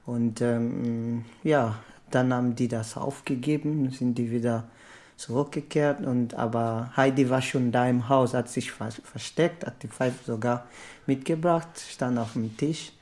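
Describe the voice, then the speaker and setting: Slow, hesitant woman. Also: rumbling low, dark tone. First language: not German. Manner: hesitant, searching for words. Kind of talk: halting spoken retelling of a film story.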